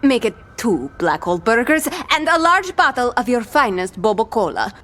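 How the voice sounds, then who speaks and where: A woman's voice: fairly high pitch, very animated, normal speed, a bit bright. A woman playing a brash, fame-hungry pirate captain who talks in theatrical old-time pirate speak in a scripted audio adventure. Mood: bossy, theatrical. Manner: theatrical, mock-archaic pirate talk.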